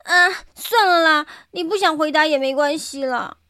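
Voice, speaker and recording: A woman playing an excitable young woman in a radio drama, with a cutesy, whiny, exclamatory manner. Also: high voice, normal speed, dry recording, studio quality. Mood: sheepish, earnest. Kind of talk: Mandarin radio drama acting.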